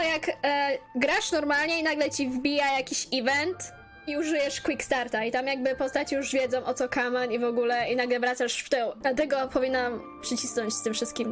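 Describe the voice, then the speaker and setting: A woman's voice: high-pitched, steady tone, fairly quick. A woman from Poland giving casual commentary as she plays through a video game. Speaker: gaming YouTuber. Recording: voice over game music.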